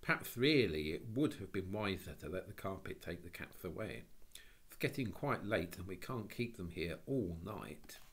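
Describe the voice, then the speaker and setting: A man's voice: deep voice, expressive, normal speed, fairly bright tone, breathy edge, exaggerated voice. A man voicing a sensible, anxious schoolgirl in a storybook, earnest in manner. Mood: mournful, anxious. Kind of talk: storybook reading.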